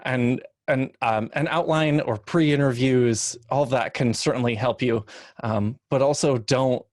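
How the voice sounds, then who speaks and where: A man giving casual advice as a panelist, informal and explanatory, often halting to rephrase himself. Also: medium pitch, darkish tone, dry recording, clean studio sound. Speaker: expert panelist. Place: online video call.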